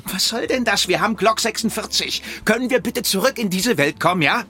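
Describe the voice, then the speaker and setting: Bright-voiced, irritated police detective. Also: male voice, high-pitched, expressive, brisk. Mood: exasperated. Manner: stammering, gruff, disbelieving. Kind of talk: German radio drama acting.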